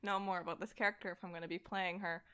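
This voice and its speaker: A woman podcast guest chatting casually, telling stories in an animated, self-deprecating way with frequent laughter. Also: fairly low pitch, very fast, smooth. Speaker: voice actor. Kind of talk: casual conversational chat about fandom.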